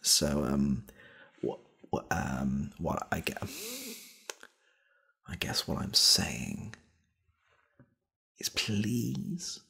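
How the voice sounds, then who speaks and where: A British man playing an awkward, needy shop worker in a comedy ASMR roleplay, speaking in a hesitant, stammering, repetitive way with a soft, husky, gravelly voice. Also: very deep voice, very animated, drawn-out words, pauses often, heavily acted. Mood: flustered, pleading. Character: awkward, needy male shop worker clumsily propositioning a customer.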